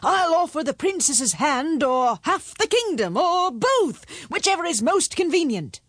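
A woman's voice: high-pitched, big pitch swings, measured pace, fairly bright tone, dry recording, clean studio sound, pitched above the performer's own voice, heavily acted. A woman voicing an exasperated, blustering king in a storybook tale, speaking emphatically. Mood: bargaining. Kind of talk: storybook character voice.

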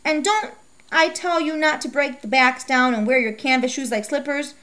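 A woman voicing a strict, nagging mother who scolds her daughter with rapid questions. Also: sits high, bright, forceful tone, pitched above the performer's own voice. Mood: scolding.

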